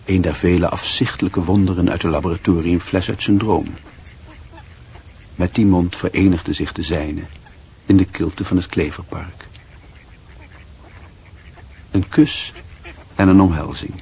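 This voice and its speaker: An actor narrating a literary radio drama in a measured, wry and sombre manner. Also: male voice, low voice, fairly even intonation, darkish tone, slight background noise.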